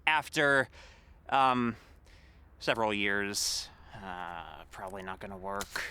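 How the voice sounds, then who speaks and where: A man playing a mayor's put-upon, pedantic assistant in a comedy audio drama, hesitant and mumbling with quiet sarcasm. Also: on the low side, slow, smooth. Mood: hesitant, weary.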